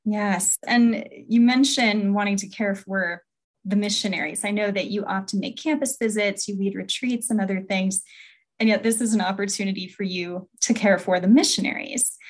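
A woman hosting a podcast, speaking in a conversational interview style. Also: medium pitch. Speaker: podcast host.

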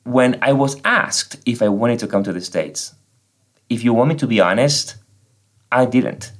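A man's voice: mid-range voice, unhurried. A man from Argentina with an Argentine Spanish accent, a priest and native Spanish speaker, explaining faith earnestly in a podcast conversation.

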